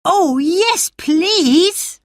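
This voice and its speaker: Woman doing a high, bossy sickly boy. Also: big pitch swings, takes it slowly, sharp tone, dry recording, studio quality, full character voice. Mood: eager. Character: sickly demanding boy, eager and imperious.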